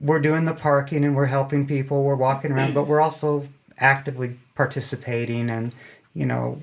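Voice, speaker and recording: A man's voice: medium pitch, flat delivery, brisk, vocal fry. A man explaining things casually as a club guest in an interview, chatty and self-deprecating. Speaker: amateur radio club member, guest.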